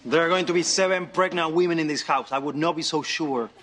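An actor in a sitcom, speaking in a dramatic, self-important way. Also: male voice, a little high, fairly quick, lightly acted. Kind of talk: sitcom acting. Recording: noisy sitcom audio with laughter.

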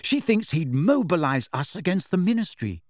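Male reader as bossy teenage schoolgirl, raised pitch, brisk, insistent, tart, audiobook character. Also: sing-song, no room sound, studio quality. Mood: earnest.

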